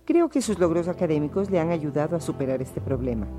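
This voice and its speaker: A woman's voice: low-pitched, lively intonation, quick pace, over music. A woman playing a patient, reassuring mother who comforts her son. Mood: soothing. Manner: soothing, patient. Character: gentle, reassuring middle-aged mother.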